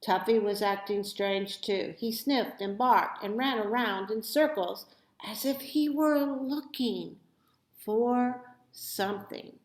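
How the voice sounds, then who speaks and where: A woman reading a children's story aloud with expressive, deliberate phrasing in a roomy, echoey recording. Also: medium pitch. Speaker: storybook reader.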